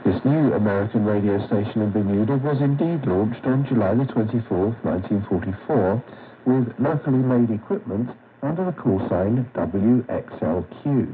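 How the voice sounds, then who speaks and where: A man narrating a scripted history segment on a radio program, in a measured, read-aloud way. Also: on the low side, lively intonation. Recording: dull shortwave radio reception with static.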